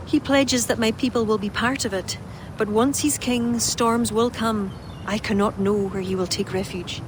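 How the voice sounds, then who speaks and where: An actress voicing a former druid woman in a game, speaking in a measured, earnest, reflective way. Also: fairly high pitch, fairly even intonation, noisy background, close to an everyday voice. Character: former druid woman, now a noble ally.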